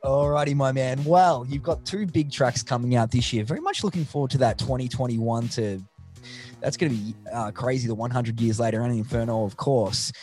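A man's voice: medium pitch, fairly quick, darkish tone, faint music behind. An Australian man hosting a casual interview in a matey, affirming way. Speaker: interview host.

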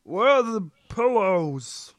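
A man's voice: sits high, big pitch swings, slow, fairly bright tone, no room sound, studio quality, heavily acted. A man playing a whiny, defensive sitcom husband in a script table read. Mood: achy, grumbling.

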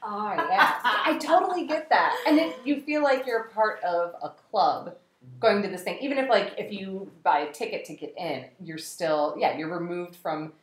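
Lively woman chatting in an echoey room. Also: mid-range voice, normal speed. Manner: animated storytelling with quoted voices. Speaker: podcast guest. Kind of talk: casual chat.

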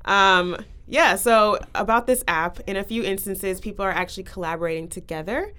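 A woman's voice: medium pitch, moderate pace, sharp tone, smooth. A young woman hosting a casual podcast, talking with guests and presenting trends. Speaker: podcast host.

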